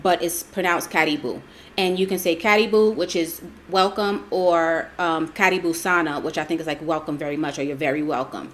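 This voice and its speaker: American woman co-host, low-pitched, chatty and patient, faint noise behind. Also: steady tone, moderate pace. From United States. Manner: chatty, explains patiently with fillers. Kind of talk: casual travel chat.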